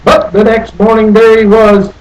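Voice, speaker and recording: A man with a slightly high voice reads a children's story aloud. His delivery is expressive and theatrical, and he lingers on phrases. Some noise sits behind the voice. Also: moderate pace. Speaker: storybook reader. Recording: noticeable background noise.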